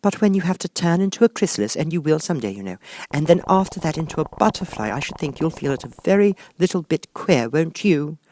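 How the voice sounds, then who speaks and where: Man as a shy young girl, high voice, polite, hesitant, puzzled, lilting storybook delivery. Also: lively intonation, brisk, darkish tone, close to an everyday voice.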